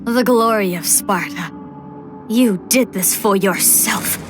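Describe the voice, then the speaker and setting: An actress playing a bold, reproachful Spartan wife, impassioned and confrontational, in a video game. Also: female voice, medium pitch, slow pace, music in the background, close to an everyday voice. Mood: accusing. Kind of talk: dramatic video game acting.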